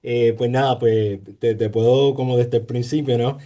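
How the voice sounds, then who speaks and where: A man's voice: mid-range voice, steady tone, normal speed, mellow. A man with a Puerto Rican Spanish accent, a sociologist talking in an interview, hesitant, with many fillers, repeated words and tag questions. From Puerto Rico. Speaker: sociologist guest. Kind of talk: conversational interview.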